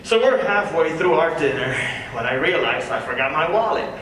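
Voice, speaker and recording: Man acting a highish-voiced young male stand-up comic. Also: animated, normal speed, a bit bright. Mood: wry, joking. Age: young adult. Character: young male stand-up comedian telling self-mocking anecdotes. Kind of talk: scripted stand-up routine at a table read. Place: large echoey room, live event. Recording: echoey room, distant mic, faint noise.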